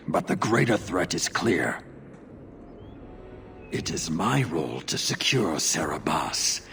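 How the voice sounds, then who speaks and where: Stern, gravelly warrior zealot. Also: male voice, sits low, fairly bright tone, a little hiss or hum, heavily acted. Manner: formal, measured, declamatory. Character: stern zealous horned Qunari warrior, commanding and unyielding. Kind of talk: video game acting.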